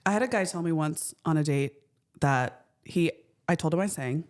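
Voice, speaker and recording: Playful alto-voiced woman host. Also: darkish tone. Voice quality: lowish alto voice. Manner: playful, quick to laugh. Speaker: podcast host. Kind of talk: casual chat.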